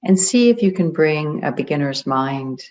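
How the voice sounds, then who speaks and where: A meditation guide leading a group in a gentle, deliberate way, leaving long pauses between phrases. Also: mid-range voice, lively intonation, a little hiss or hum. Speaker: meditation guide, spiritual coach. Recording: dull, muffled lo-fi audio.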